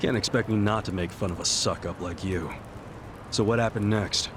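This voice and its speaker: Man playing a blunt, low-voiced streetwise gangster. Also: normal speed, background noise, natural voice. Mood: mocking, probing. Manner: blunt, sarcastic, needling. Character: blunt, mocking, streetwise male gangster interrogator. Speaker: character in a crime drama video game. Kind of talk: dramatic video game cutscene acting.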